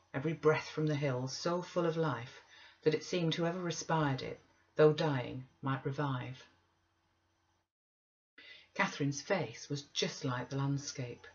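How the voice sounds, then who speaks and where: Woman reading a classic novel, slightly dull sound. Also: a bass voice. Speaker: audiobook reader. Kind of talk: scripted literary narration.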